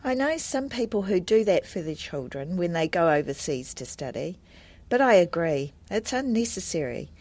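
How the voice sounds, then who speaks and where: A woman acting a helpful, friendly adviser in a scripted listening-test dialogue, speaking in a measured, explanatory way. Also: lowish voice, sing-song, a little mellow, dry recording. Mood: helpful, calm.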